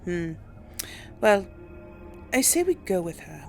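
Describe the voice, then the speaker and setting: Slow, creaky-voiced woman gamer. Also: on the low side, big pitch swings, a little mellow, soft background music. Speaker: gaming host role-playing a character. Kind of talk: casual let's play commentary with in-character dialogue.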